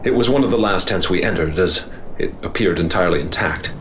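A man as a deep-voiced grave professor narrating. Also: a little hiss or hum, natural voice. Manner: measured, grave storytelling. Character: male professor leading an expedition, grave and measured. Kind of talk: first-person radio drama narration.

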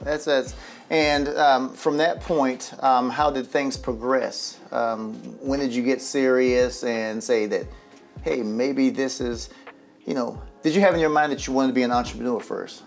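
A man's voice: medium pitch, steady tone, a little slow, gravelly, soft background music. A man hosting a local interview show, asking questions and giving many short acknowledgments. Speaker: show host. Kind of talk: interview host.

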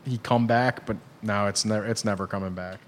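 Laid-back co-host making short remarks. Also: male voice, on the low side, fairly even intonation, brisk. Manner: short interjections and comments. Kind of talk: casual sports chat.

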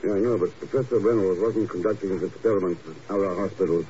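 Male actor as wry secret agent, 1950s radio drama. Rapid, dry delivery, mellow lowish tone, old muffled recording. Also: a little hiss or hum, lightly acted. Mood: dry, probing. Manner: clipped, dryly skeptical. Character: wry, dogged American secret agent investigating a murder.

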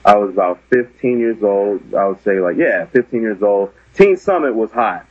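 A man's voice: on the low side, lively intonation, moderate pace, husky, no room sound, narrow, tinny audio. A man, a comedian guest on a podcast, telling a story in an earnest, self-deprecating way.

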